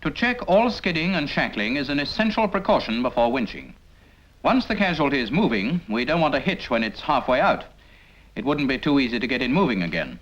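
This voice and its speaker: A man's voice: medium pitch, very animated, brisk, a bit bright. A British man narrating a scripted 1950s military training film in an instructional manner, speaking directly to the trainee. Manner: didactic, addresses the trainee with rhetorical questions. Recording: dull 1950s film soundtrack.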